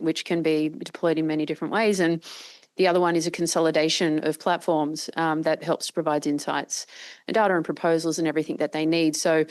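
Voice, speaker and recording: A woman with a croaky voice, giving a long-winded panel answer full of restarts and fillers. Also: low voice. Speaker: panelist, company founder.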